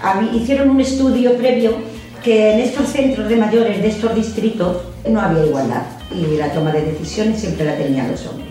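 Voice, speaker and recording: An older woman, a retired activist, speaking earnestly and emphatically in an interview, with halting restarts. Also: medium pitch, darkish tone. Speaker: member of a senior women's activist group. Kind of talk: interview testimony. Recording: roomy sound from far off, faint music.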